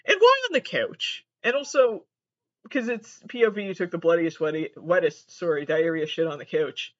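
Male podcast host, North American, notably high voice. Casual, profane, self-mocking solo rambler, recorded dull on a laptop. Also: animated, normal speed. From Massachusetts, United States. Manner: self-deprecating, profane, digressive. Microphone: built-in laptop microphone.

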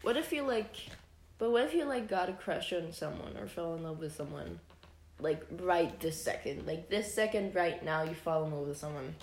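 A young woman co-hosting a podcast in casual chat, a bit hoarse from a cold, playful and teasing. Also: low-pitched, animated, normal speed, darkish tone, slight background noise. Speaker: podcast co-host. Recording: echoey room.

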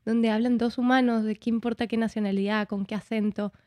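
A woman with a fairly high-pitched voice. She talks in a reflective, conversational way as an interview guest, expressively slipping into bits of imagined dialogue. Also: steady tone, a little fast, mellow. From Argentina.

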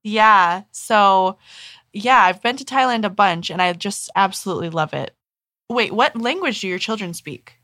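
A woman's voice: medium pitch, lively intonation, moderate pace, sharp tone, dry recording. A woman hosting an interview, gushing and encouraging toward her guest. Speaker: podcast host. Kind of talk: casual interview chat.

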